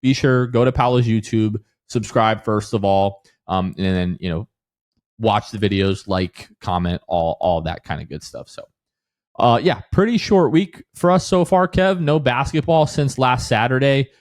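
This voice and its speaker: A man's voice: mid-range voice, normal speed, dry recording, clean studio sound. An American man hosting a podcast, chatting casually about sports. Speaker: podcast host.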